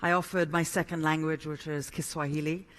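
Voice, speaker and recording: A man's voice: fairly high pitch, level tone, a little fast, fairly bright tone. A man from Kenya hosting a live event and announcing a break, with small restarts. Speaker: event host.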